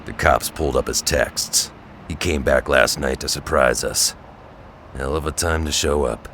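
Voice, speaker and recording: A man playing a gruff, cynical middle-aged mutant brawler in an audio drama, blunt and growling. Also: very deep voice, measured pace, fairly bright tone, a little hiss or hum, full character voice. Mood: grim, gruff. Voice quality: gravelly. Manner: blunt, growling, street-tough.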